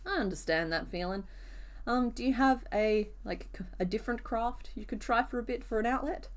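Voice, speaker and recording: Woman host, crafting live stream, voice a bit hoarse today, chatty and easygoing with her chat. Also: fairly high pitch, fairly quick. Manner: chatty, answers viewers' comments as she works. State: rough, hoarse voice. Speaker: crochet streamer. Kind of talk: casual live-stream chat while crocheting.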